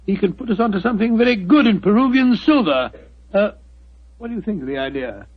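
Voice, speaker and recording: A man's voice: sits high, animated, a little fast. An actor playing a genial, bumbling older doctor, who stammers and chuckles as he tells a tale in 1940s radio acting. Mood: flustered. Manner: blustery and stammering, chuckles often. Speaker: narrator of the tale within the play. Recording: dull 1940s radio recording.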